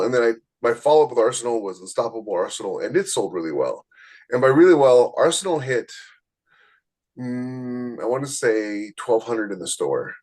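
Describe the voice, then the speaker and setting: A man hosting a podcast, explaining things from experience in a casual, conversational way and talking straight to the listeners. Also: mid-range voice. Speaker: podcast host, self-published author.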